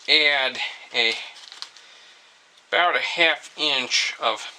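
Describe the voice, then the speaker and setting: A man's voice: medium pitch, sharp tone, slight background noise. A man casually demonstrating a hands-on repair, thinking aloud as he works. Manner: thinking aloud while working. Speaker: host of an antique radio repair video.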